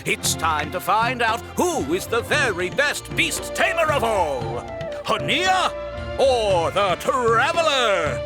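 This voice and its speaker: Game tournament announcer, high voice, swooping delivery, theatrical, ceremonial, drawn-out proclaiming. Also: male voice, wildly swooping pitch, exaggerated voice. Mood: grand. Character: showy male tournament announcer, grand and theatrical. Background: game music.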